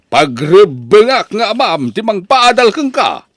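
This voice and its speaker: A man with an Ilocano accent acting a proud, hot-tempered father in a radio drama, with emphatic, drawn-out delivery. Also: high-pitched, very animated, a bit bright, dry recording, studio quality, full character voice. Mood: proud, hopeful.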